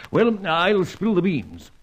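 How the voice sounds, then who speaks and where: An actor playing an excitable British spymaster in a radio serial, hearty and sputtering with a British accent, on a dull old recording. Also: male voice, high-pitched, extremely slow, slightly rough, exaggerated voice. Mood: excited, jovial.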